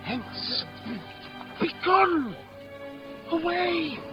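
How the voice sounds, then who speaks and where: An alarmed, loyal young companion. His high voice moves dramatically up and down in urgent exclamations. It is a dull radio play recording with music behind. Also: sing-song, extremely slow, heavily acted. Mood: urgent, frightened. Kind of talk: classical radio drama acting.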